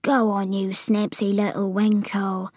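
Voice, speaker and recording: A woman's voice: medium pitch, level tone, a little slow, darkish tone, husky, dry recording, studio quality. A woman voicing a very old, forlorn but friendly male giant in a storybook, drawing out mangled words with great emphasis. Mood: insistent.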